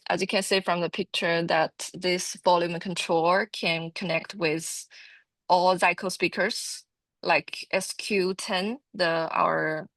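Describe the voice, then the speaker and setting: A woman giving an informal product training presentation, explaining haltingly and often repeating her words. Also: fairly low pitch, a bit bright, no echo.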